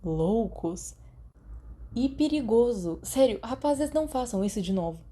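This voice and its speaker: A woman's voice: on the high side, expressive, measured pace, warm tone, breathy edge. A woman with a Brazilian accent voicing a brash, foul-mouthed, indignant teenage boy in an audiobook story. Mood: reproving.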